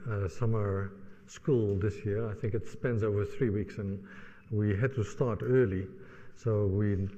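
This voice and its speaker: A middle-aged man with a Dutch accent opens a lecture, pausing often on "uh" and restarting his sentences. His voice sits a little low and sounds mellow and soft-edged. The recording is dull and lo-fi, with a long hall reverb trailing behind him. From Netherlands. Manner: hesitant, many "uh" fillers and restarts. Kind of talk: opening remarks of a lecture.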